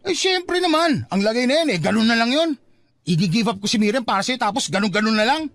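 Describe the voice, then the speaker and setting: A man's voice: very high voice, lively intonation, fast talker, fairly bright tone, dry recording, heavily acted. A man playing a cheeky, scheming young suitor, teasing and insistent, in a Filipino radio-style romance drama. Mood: insistent bargaining. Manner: teasing, wheedling, playful. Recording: music under the dialogue.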